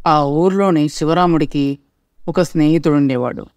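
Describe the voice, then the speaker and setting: A man's voice: on the high side, moderate pace, darkish tone, dry recording. A man narrating a scripted cartoon story as its storyteller.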